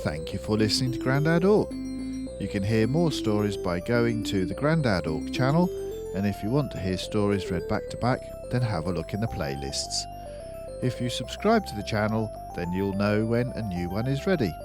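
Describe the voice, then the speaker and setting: A man's voice: lowish voice, big pitch swings, moderate pace, over loud music. An older man narrating a children's storybook as its host, reading from a script.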